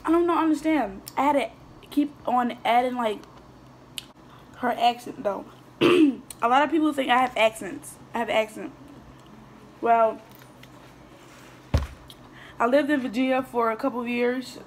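A teenage girl talks through a mukbang vlog, her voice a little high, her speech rambling and full of restarts. She chats between bites into a phone camera on the table in front of her. A faint hiss sits under her voice. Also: measured pace, pauses often. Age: teens. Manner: rambling, chatty, with frequent restarts and fillers; talks while eating, mouth sometimes full. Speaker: vlogger. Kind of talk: casual mukbang chat answering viewer questions. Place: small indoor room at a table.

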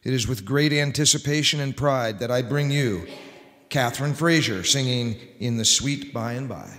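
A man's voice: medium pitch, moderate pace, fairly bright tone. A middle-aged man hosting a radio show, reading scripted copy in a formal, projected old-time announcer style. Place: large wood-panelled indoor hall, at a lectern. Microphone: microphone on a stand. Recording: reverberant hall.

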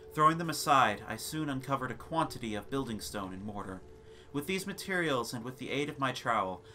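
A man reading a gothic tale as a theatrical, deliberate dramatic narration. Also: medium pitch, pushed and bright. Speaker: narrator of a gothic short story. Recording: noisy ambience sound bed. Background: background ambience and sound effects.

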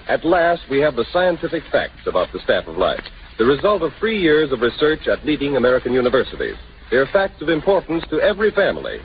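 A man announcing from a script in declamatory 1930s radio style. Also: mid-range voice. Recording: dull, faintly noisy 1930s radio recording.

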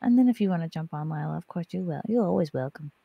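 A woman's voice: lowish voice, expressive, fast, creaky, breathy edge, dry recording, muffled recording. Joking woman podcaster, dry close mic. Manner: loose, joking, swears freely. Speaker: podcast host. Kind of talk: casual livestream chat. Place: home studio with neon lights. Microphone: large studio mic on arm.

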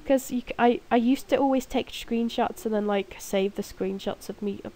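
Young woman with a cold, stuffy nose, rambling alone at home over gameplay, soft dark-ish voice. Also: a little high, moderate pace. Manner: rambling, self-mocking, giggly. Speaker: gaming video creator. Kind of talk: casual gameplay commentary. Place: room at home, alone at night.

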